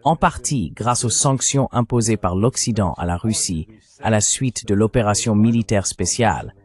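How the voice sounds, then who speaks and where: A man with a fairly low voice delivers political commentary as a translated voice-over. He explains things in a measured way, point by point. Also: darkish tone. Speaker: panel commentator.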